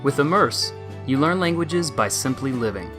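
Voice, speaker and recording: A man reading a scripted promotional narration in a smooth, steady way. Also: mid-range voice, moderate pace. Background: music.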